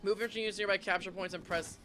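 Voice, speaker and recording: A man's voice: high-pitched, steady tone, quick pace. A man giving casual live commentary while streaming a game, muttering to himself and reading prompts aloud. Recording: game battle noise behind voice. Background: video game gunfire and vehicles.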